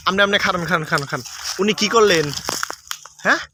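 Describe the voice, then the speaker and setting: A man's voice: high-pitched, quick pace, noisy background, close to an everyday voice. A man acting a pushy, lecherous village man in a comedy sketch, nagging and repetitive, with a rural Bangladeshi Bengali accent. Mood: accusing, nosy. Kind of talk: improvised comic dialogue.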